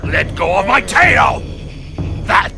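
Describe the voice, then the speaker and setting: Swaggering, gravelly cartoon panther. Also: male voice, high-pitched, very animated, takes it slowly, exaggerated voice. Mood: irritated. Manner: taunting, theatrical. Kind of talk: English-dubbed cartoon acting. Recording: loud music behind the voice.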